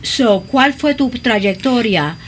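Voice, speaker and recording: A woman's voice: on the high side, animated, slow, a little hiss or hum. A woman hosting a talk show interview, asking questions and switching between Spanish and English with fillers. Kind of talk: bilingual interview.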